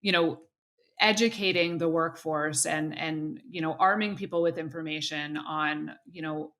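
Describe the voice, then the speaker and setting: A woman hosting an interview podcast, her voice a little low and notably bright. She reasons aloud thoughtfully, leaning on "you know" and restarting phrases as she goes. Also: steady tone, a little slow. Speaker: podcast host.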